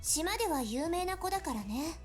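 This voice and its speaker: A woman's voice: very high voice, expressive, quick pace. A woman playing a haughty, exasperated, easily flustered girl in a visual novel, with a scolding, sarcastic manner. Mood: matter-of-fact. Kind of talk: Japanese visual novel voice acting. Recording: game music behind the voice.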